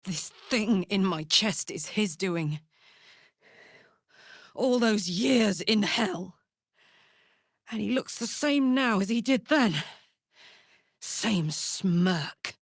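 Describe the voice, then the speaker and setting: A woman's voice: medium pitch, animated, very slow, pauses often, dry recording, clean studio sound, exaggerated voice. A woman voicing a brash, big-hearted, hot-tempered warrior in a fantasy video game, speaking bluntly, informally and with open emotion. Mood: grim, bitter.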